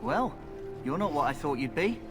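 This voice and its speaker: A man's voice: a little high, animated, normal speed, over music, natural voice. A man voicing a cheeky young rogue. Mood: sly.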